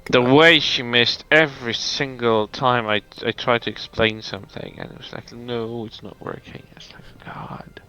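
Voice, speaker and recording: A man's voice: mid-range voice, fairly even intonation, normal speed, hardly any pauses, pushed and bright, muffled recording. A man who is not a native English speaker, venting in casual chat as a stream co-host. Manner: frustrated venting, restarts often.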